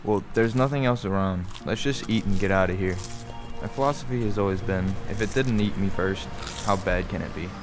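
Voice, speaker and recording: A middle-aged man playing a wry, measured father who narrates a strange roadside tale in a radio play. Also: low voice, lightly acted. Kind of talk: scripted first-person radio play narration. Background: music.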